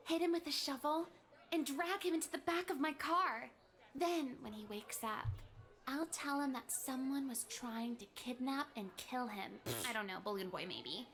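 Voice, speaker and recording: A woman's voice: sits high, lively intonation, normal speed, a bit bright. A woman voicing a lovesick, cheerfully deranged female cartoon pig with a sweetly dramatic manner. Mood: sweetly scheming. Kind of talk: cartoon monologue, diary narration.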